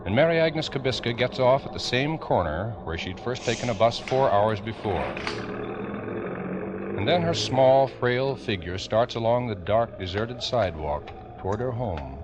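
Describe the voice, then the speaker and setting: A man narrating a scripted 1950s radio drama in a grave, deliberate storytelling manner on an old, dull recording. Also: mid-range voice, animated. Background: dramatic music.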